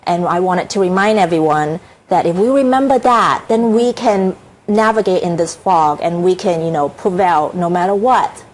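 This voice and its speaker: A woman's voice: fairly low pitch, low-quality recording. A middle-aged woman from Hong Kong, with a Hong Kong accent, talking earnestly as a TV interview guest with many fillers and restarts. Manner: earnest, halting, frequent fillers. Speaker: author and inspirational speaker.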